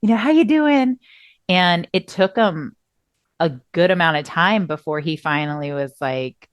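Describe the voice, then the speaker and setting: Woman, low voice, middle-aged, podcast co-host, recovering voice, expressive storyteller, dry close sound. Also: a little slow, no room sound. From Southern California. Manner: expressive storyteller, acts out quotes. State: recovering voice, coughing. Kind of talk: casual chat.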